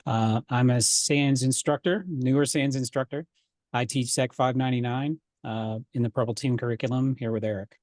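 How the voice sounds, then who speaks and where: A man's voice: mid-range voice, steady tone, normal speed, darkish tone, no echo. American man, dry-humored co-presenter. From Florida, USA. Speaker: security researcher and co-presenter. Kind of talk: webcast self-introduction.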